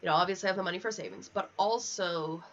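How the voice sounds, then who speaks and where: A woman's voice: fairly low pitch, quick pace. A young American woman rambling casually on a livestream while gaming, chatty and unfiltered with frequent swearing. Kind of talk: casual rambling livestream chat over a video game. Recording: dull lo-fi mic with clear background noise.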